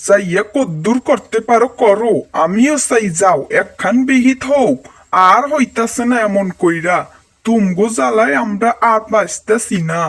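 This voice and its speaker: A man's voice: high-pitched, moderate pace, a bit bright. A man voicing village men in a cartoon, speaking in a declamatory way. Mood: indignant. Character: village men: angry villagers, calming council member, friendly snack vendor. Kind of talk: cartoon voice acting.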